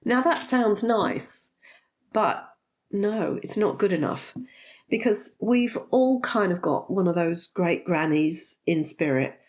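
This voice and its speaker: A woman's voice: fairly low pitch, animated, takes it slowly, a little mellow. A middle-aged woman, a bit congested from a cold, talking candidly to her viewers with frequent ums. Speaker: spiritual teacher and vlogger. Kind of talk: casual talk to camera.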